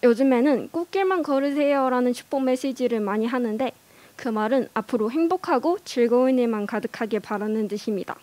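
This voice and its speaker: A woman's voice: on the high side, darkish tone, no room sound. A young woman giving a prepared speech in a learned language, careful and earnest, with small hesitations. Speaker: speech contestant.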